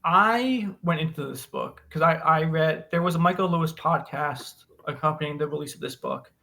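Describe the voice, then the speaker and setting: An American man with a slightly high voice chats as a podcast co-host about a book. He is conversational, opinionated and emphatic when making his points. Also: normal speed, bright, forceful tone. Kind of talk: casual book discussion.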